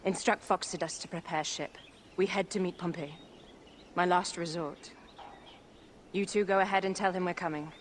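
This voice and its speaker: A woman's voice: fairly low pitch, steady tone, normal speed, pauses often, slight background noise, close to an everyday voice. An actress voicing a fierce, imperious young queen who speaks in clipped, commanding and defiant lines.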